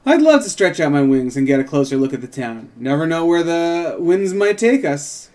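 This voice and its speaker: A man reads as a visiting harpy woman investigating a case in a drama script. The tone is mellow and the intonation lively, with polite, gently probing questions. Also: a little high, normal speed. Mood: curious, probing. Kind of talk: script table read, in character.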